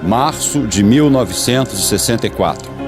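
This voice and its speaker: A man's voice: medium pitch, lively intonation, normal speed. A Brazilian man reading scripted radio documentary narration in a solemn, dramatic manner. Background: music.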